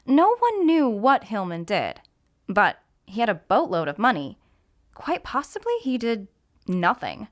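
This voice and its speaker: A woman's voice: a little high, big pitch swings, unhurried. A woman narrating a scripted mystery story as an actor reading aloud. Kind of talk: scripted audiobook narration with character voices.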